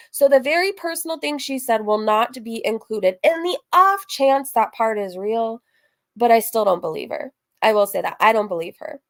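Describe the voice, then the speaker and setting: A woman hosting a casual reaction livestream, chatty and gossipy, repeating phrases for emphasis as she comments and reads out messages. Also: mid-range voice. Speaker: online reaction channel host.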